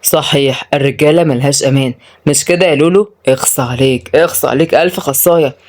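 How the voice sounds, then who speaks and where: A woman's voice: low-pitched, rapid-fire, a little mellow, voice pushed down. A woman voicing a sarcastic, teasing young Egyptian man in a story, with a mock-serious manner.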